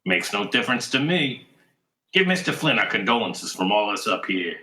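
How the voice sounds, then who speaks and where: An actor playing a gruff, brash blue-collar theater rigger with blunt, slangy talk in a radio play. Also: male voice, fairly low pitch, big pitch swings, moderate pace, pushed and bright, exaggerated voice. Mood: gruff, offhand. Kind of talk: radio play character acting. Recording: echoey, reverberant space.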